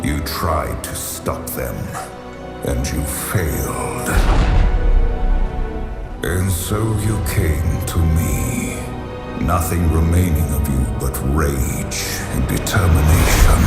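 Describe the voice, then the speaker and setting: An actor playing a brooding, vengeful demon hunter lord, declaiming with slow, portentous menace in a deep, gravelly fantasy game voice. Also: male voice, heavily acted. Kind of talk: dramatic fantasy game cutscene acting. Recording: echoing, with loud cinematic game music.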